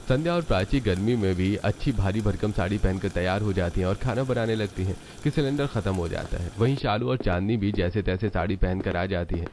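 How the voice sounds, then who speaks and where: A man's voice: on the low side, fairly quick, hardly any pauses, a little mellow, a little hiss or hum. A man reading scripted storybook narration. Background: background music.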